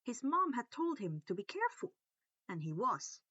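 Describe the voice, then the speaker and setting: Female story narrator, highish and lively pitch, slightly muffled dry home-style recording. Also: normal speed, breathy edge, no echo. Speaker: fan fiction narrator. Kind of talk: animated storybook-style read-aloud narration.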